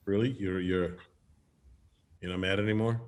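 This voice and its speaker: A man's voice: low voice, fairly even intonation, darkish tone, natural voice. A man playing a sheepish, evasive, affectionate sitcom husband who stammers and hedges through a comedic script read. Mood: sheepish, wary. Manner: stammering, halting, hedging. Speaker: sitcom actor at a cast reunion table read.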